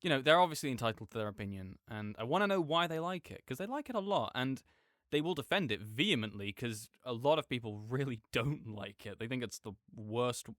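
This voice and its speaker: A man plays an easygoing, apologetic gamer roommate in a late-night audio roleplay. His voice is clear and smooth, captured dry and close. He talks in a casual, rambling way, often doubling back on himself with mild exasperation. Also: medium pitch, lively intonation, fairly quick, a bit bright, no room sound, close to an everyday voice. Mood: opinionated, rambling. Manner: rambling, hesitant, self-interrupting, mildly exasperated. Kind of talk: casual improvised-sounding audio roleplay monologue.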